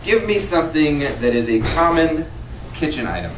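An animated young man whose voice swings widely as he rants through an improv comedy scene in rhyme. He sounds distant and roomy, caught by a far camera in a living room, with loud music in the background. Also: on the high side, moderate pace, background noise. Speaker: improv performer and scene host. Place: carpeted living room, indoors.

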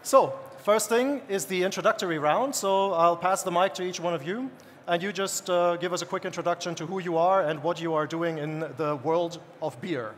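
High-voiced male moderator in a hall. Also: normal speed, slight background noise. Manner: friendly, coaxing. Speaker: panel moderator. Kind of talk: event hosting. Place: stage in a large hall. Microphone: handheld mic. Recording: hall reverb, crowd behind.

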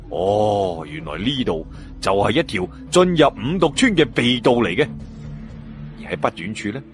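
A man narrating a story dramatically, with colloquial playful asides and exclamations, as an audiobook storyteller. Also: lowish voice. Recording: background music behind narration.

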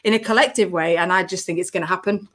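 A woman's voice: lowish voice, quick pace, fairly bright tone. A woman from Wales, a guest on a casual comedy video call, talking in a playful, whimsical storytelling way. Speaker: guest performer.

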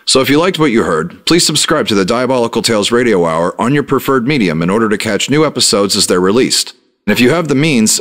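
A man's voice: lowish voice, fairly bright tone. A man, the writer and director of a show, reading a scripted appeal to listeners.

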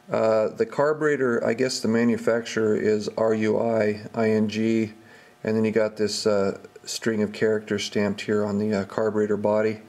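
A man narrating an unscripted repair tutorial as an instructor, speaking in a halting, matter-of-fact way. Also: fairly low pitch, darkish tone. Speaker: small engine repair instructor.

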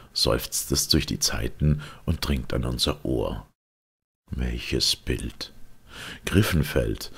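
A man narrating a literary story with solemn, theatrical weight and a gravelly, husky voice. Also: rumbling low. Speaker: audiobook narrator.

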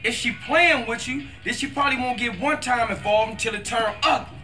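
A man's voice: very high voice, brisk, sharp tone, soft background music. A man playing a young, slangy, blunt American street hustler in a video game, tough but loyal. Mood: urgent. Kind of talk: video game cutscene acting.

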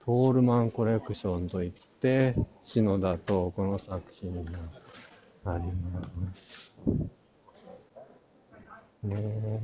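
A man narrating a casual walk-through, muttering short fragments half to himself through a face mask. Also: fairly low pitch. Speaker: vlog host touring an art fair. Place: large crowded indoor exhibition hall. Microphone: handheld camera at arm's length. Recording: dull sound in a busy hall. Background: crowd murmur.

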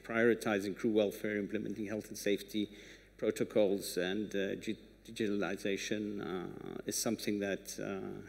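A man in his sixties speaking haltingly as a conference panelist, giving prepared remarks. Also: lowish voice, gravelly. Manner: halting, hesitant delivery. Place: on stage in a conference hall. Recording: echoey conference hall.

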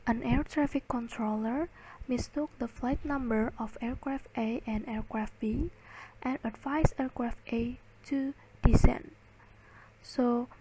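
Slow, mellow-voiced woman lecturing. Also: a little high, a little hiss or hum, rough recording. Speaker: lecturer presenting slides. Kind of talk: slide lecture, reading and explaining.

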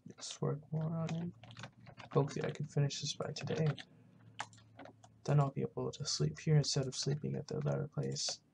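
A gamer giving casual, halting roleplay commentary, muttering to themself while playing, recorded on a noisy home mic with keyboard clicks. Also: medium pitch, gravelly. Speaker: gaming video host. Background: background noise, keyboard typing and clicking.

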